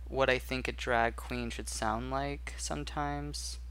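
A man's voice: mid-range voice, fairly even intonation, slow pace, a bit bright, clear, resonant tone. A man on a casual film podcast, speaking thoughtfully and candidly about his own experience.

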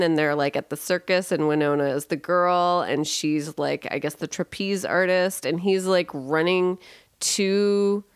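A woman chats casually as co-host, wandering through her thoughts with plenty of fillers and easy laughter. Her voice sits a little low, and the recording is close and dry with no room sound. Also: clean tone, no room sound. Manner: rambling, filler-heavy, laughs often. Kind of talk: casual chat.

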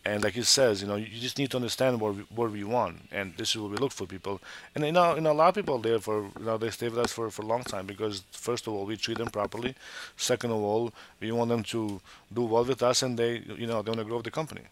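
Low-voiced man from Montenegro. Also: quick pace. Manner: talkative, many restarts and fillers. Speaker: restaurant owner. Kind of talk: casual interview talk.

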